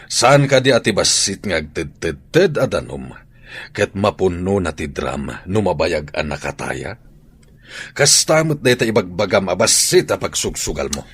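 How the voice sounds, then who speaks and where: Older Ilocano family patriarch, radio drama. Husky, very animated, concerned and admonishing. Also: male voice, low-pitched, normal speed, no echo, full character voice. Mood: scolding. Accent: Filipino, Ilocano. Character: concerned older father and grandfather, chiding, protective.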